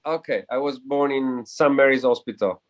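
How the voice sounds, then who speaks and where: A man's voice: medium pitch, steady tone, normal speed. A man speaking as an air race pilot in a casual interview, earnest and reflective, who often stumbles and repeats words while searching for them.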